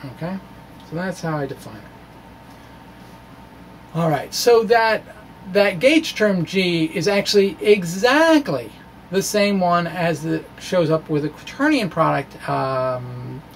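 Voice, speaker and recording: A middle-aged American man with a gravelly, creaky edge to his voice talks through physics informally. He is casual and halting, pausing to think as he goes. Some room noise sits behind him as he speaks into a microphone. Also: fairly high pitch, lively intonation. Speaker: physics video blogger. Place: small cluttered indoor room with bookshelves. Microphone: microphone on a stand in front of him.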